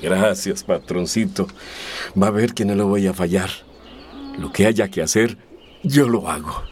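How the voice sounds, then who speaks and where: An actor playing a humble, desperate migrant labourer in a radio drama, middle-aged, hesitant and deferential. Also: male voice, deep voice, measured pace, a little mellow, a little hiss or hum. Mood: humble, hopeful.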